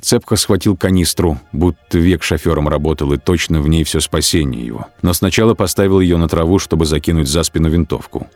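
A man narrating a radio drama in a measured, grave manner. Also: extremely low pitch, darkish tone, no room sound. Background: music score.

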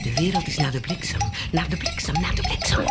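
An actress playing a raving street prophet of doom, wailing and repeating phrases in a radio drama. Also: female voice, low-pitched, quick pace, natural voice. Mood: frenzied. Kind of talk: theatrical radio drama acting.

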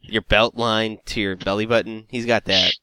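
A man's voice: fairly low pitch, steady tone, moderate pace, sharp tone, smooth. A man hosting a casual podcast chat, reading news items with wry humor. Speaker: podcast host.

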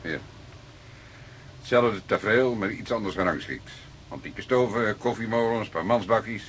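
A man's voice: lowish voice, normal speed, natural voice. An actor playing a seasoned middle-aged police detective in a radio play, teasing his partner in a wry, fatherly way. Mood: matter-of-fact. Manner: wry, avuncular, chuckling banter. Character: seasoned older male police detective, teasing, paternal, unhurried. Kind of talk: naturalistic radio play acting. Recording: radio play with clear background noise.

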